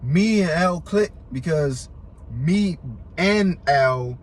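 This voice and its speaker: A man's voice: fairly high pitch, expressive, very slow. Podcast guest: American male, stutters and repeats words, emphatic slangy talk, some background noise. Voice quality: stutter, word and syllable repetitions. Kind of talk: casual chat, heavy slang and swearing.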